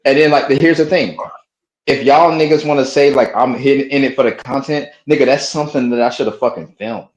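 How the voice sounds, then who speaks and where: A man's voice: medium pitch, brisk. A man hosting a live stream with casual, profane banter, speaking bluntly and repeating short phrases. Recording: roomy, echoey sound.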